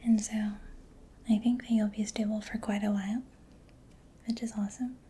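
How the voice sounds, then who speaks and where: Woman, breathy whisper, mellow tone, even pitch, caring ASMR roleplay, clicks and noise behind. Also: normal speed. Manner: gentle, reassuring, caring. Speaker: ASMR performer playing a mechanic. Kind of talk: soft-spoken ASMR mechanic roleplay. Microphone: close-up ASMR microphone.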